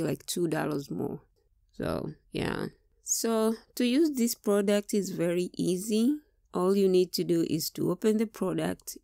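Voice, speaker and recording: A young woman with a Tanzanian accent explains a small kitchen gadget in plain, step-by-step terms. Her voice sits a little low and carries a gravelly fry. The recording is close and dry. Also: darkish tone, dry recording. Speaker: product reviewer and Swahili teacher. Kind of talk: casual product review vlog.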